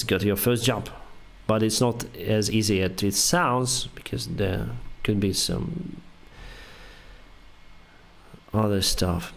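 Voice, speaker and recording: A young man whose first language is Russian, speaking English haltingly with many fillers. He reads viewer questions aloud and answers them on a casual live stream. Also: lowish voice. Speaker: airline pilot streamer answering chat.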